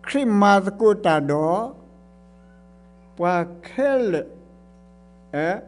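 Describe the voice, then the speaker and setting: Middle-aged karen guy preaching, fairly high voice, goes loud then soft, close mic on a church stage. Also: expressive, very slow, faint music behind. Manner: impassioned, swinging between loud exclamations and quiet speech, gesturing. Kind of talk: sermon. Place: church stage before blue curtain. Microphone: stand mic close to mouth.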